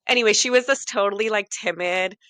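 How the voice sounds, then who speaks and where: A woman's voice: medium pitch, fairly quick, fairly bright tone, no echo. A woman in her late thirties co-hosting a podcast, chatting casually and rambling with self-deprecating laughs. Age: 30s. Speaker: podcast co-host. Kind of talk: casual chat.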